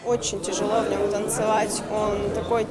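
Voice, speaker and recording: Young-sounding woman over loud music. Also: medium pitch, little pitch movement, a loud crowd. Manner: plain, halting speech. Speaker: student dancer. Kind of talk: interview comment.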